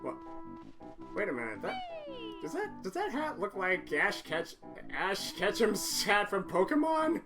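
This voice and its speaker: Hoarse, cracking man doing relaxed game commentary, slow and rambly, over loud game music. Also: high voice. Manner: rambling, self-correcting, chatty. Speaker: video game player. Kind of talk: casual let's-play commentary.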